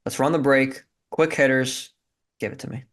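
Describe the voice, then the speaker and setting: A man's voice: mid-range voice, fairly even intonation, normal speed, a bit bright. A man hosts a college basketball podcast with upbeat, casual energy. He keeps the show moving and sets up his co-host. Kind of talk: casual sports talk.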